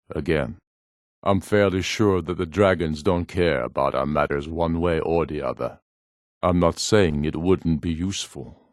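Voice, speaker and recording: Fantasy game rebel jarl, middle-aged: low, dry-recorded voice, proud, authoritative and formal. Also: male voice, dry recording. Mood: grave, businesslike. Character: proud, commanding male rebel jarl of a fantasy northern land. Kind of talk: fantasy video game character dialogue.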